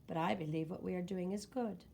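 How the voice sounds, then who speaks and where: A woman's voice: lowish voice, a little fast, gravelly, mellow, voice pushed down. A woman voicing a determined young village woman in a children's story, sincere and earnest.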